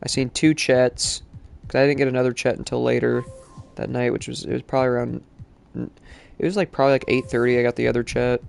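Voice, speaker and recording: A man's voice: mid-range voice, flat delivery, brisk, vocal fry, darkish tone, soft background music. A male streamer chatting casually while playing, sounding congested from allergies and rambling with lots of fillers. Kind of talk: live-stream gaming chat.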